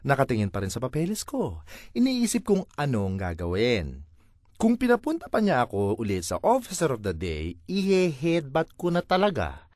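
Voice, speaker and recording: A man's voice: medium pitch, huge pitch swings, moderate pace, darkish tone, dry recording, clean studio sound. A man with a Filipino accent reading a first-person comic monologue in a theatrical, exasperated way. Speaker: voice-over reader of a comic monologue.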